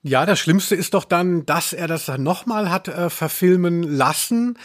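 A man's voice: sits high, measured pace. A man co-hosting a podcast, talking casually and in nerdy detail about audio dramas. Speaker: podcast co-host. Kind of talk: casual podcast discussion about radio plays.

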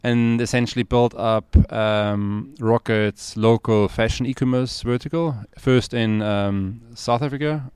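A man from Austria in his forties, a podcast guest and entrepreneur, talking in a relaxed, hesitant way with many fillers. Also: mid-range voice, unhurried, hardly any pauses, clean tone, no echo. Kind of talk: casual interview conversation.

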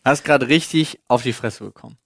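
A man's voice: mid-range voice, normal speed, a bit bright, no echo, clean studio sound. A man, a podcast co-host chatting casually in short interjections.

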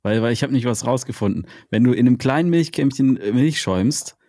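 A man co-hosting a casual podcast chat, eager and quick to ask questions. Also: medium pitch, animated, mellow, dry recording, studio quality. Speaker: podcast co-host.

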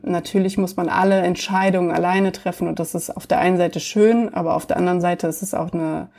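A woman telling her own story as an interview guest, reflective and hesitant, with many pauses and fillers. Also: on the low side, creaky, a little mellow.

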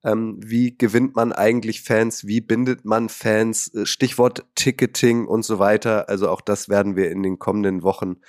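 A man's voice: lowish voice, steady tone, normal speed, few pauses, dry recording, clean studio sound. A man hosting a podcast, asking long, winding questions in a casual interview. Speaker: podcast host.

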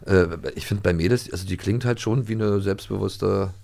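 A man's voice: low-pitched, fairly even intonation, normal speed, creaky, a little mellow. A man co-hosting a podcast in easygoing, colloquial chat. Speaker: podcast co-host.

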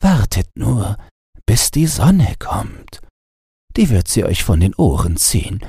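A man voicing a teasing, brusque morning wind in a storybook, with mocking, drawn-out phrases. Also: on the low side, sing-song, dark tone, slightly rough, no echo, studio quality, voice pushed up, exaggerated voice.

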